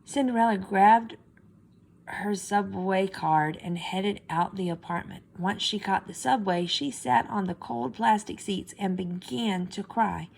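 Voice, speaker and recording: A woman with a noticeably creaky voice and middle pitch reads aloud like a teacher. She delivers story narration and simple explanatory asides for students. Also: a little slow.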